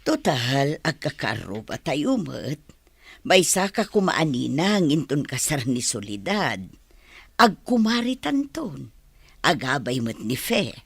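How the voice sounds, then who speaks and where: A woman playing a conciliatory middle-aged village mother in a radio drama, husky, with an Ilocano accent. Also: low-pitched, very animated, takes it slowly, dry recording, exaggerated voice. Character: conciliatory village mother of a bride-to-be, slow-talking.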